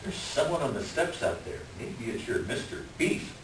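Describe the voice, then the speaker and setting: A man acting a glib, evasive con man posing as a doctor in a stage reading, halting as he smooth-talks. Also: medium pitch, big pitch swings, close to an everyday voice. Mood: blustering. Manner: hesitant, halting, smooth-talking. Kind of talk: staged readers' theater acting. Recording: echoey room, distant mic, faint noise.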